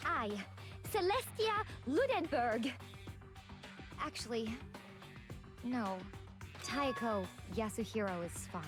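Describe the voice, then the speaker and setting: This is a proud, composed girl gambler from a video game. She speaks slowly and theatrically, with a slightly high, lofty tone. Game music plays clearly behind her. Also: animated. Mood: haughty.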